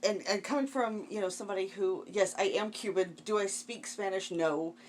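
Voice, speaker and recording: A woman's voice: medium pitch, normal speed, a little hiss or hum. A woman in a casual, opinionated podcast discussion, questioning things and padding her points with conversational asides. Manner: frequent "you know" asides, questioning.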